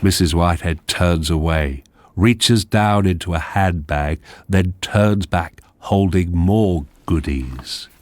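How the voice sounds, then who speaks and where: A man narrating a radio drama in a measured storytelling manner, reading out the scene directions. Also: low-pitched, no room sound. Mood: measured, suspenseful.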